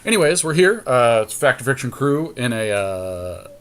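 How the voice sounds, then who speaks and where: A man's voice: medium pitch, sing-song, normal speed, a bit bright, clear, resonant tone. Man casually talking through a game. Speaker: podcast co-host playing an online card game. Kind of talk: casual game commentary with a friend.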